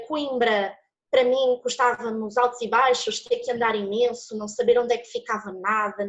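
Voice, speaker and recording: A woman in her twenties shares personal experience and advice in a Portuguese accent. Her voice sits on the high side and she speaks expressively, with emphasis. The audio is a little dull and lo-fi. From born in São Paulo, Brazil, living in Portugal since age nine. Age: 20s. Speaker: student speaker giving a testimonial. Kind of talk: personal testimony with encouraging advice.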